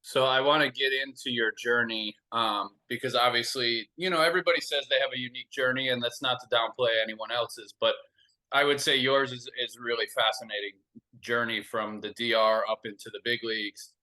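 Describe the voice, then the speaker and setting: A male podcast host speaks in a friendly, chatty way with drawn-out phrasing. His voice has a very bright, forward ring and sits at an average pitch. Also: fairly even intonation, poor audio. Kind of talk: casual interview hosting.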